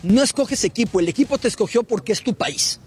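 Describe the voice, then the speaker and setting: A man's voice: sits high, expressive, fairly quick, darkish tone, breathy edge, soft background music. A man with a Mexican accent giving casual opinions on football as a panelist. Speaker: sports show panelist.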